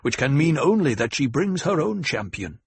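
An angry, grudge-holding desert warrior, as a male reader puts him on, loud and pushed up in pitch. His lines snap out hard. The recording is dry and close, with a husky grain. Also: sing-song, moderate pace, dry recording, heavily acted. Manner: loud, snapping and raised. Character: hot-tempered desert warrior man, grudging and fierce.

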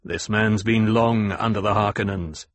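A man voicing a calm, shrewd noble duke in an audiobook, with measured, courteous authority. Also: lowish voice, natural voice. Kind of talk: audiobook character acting.

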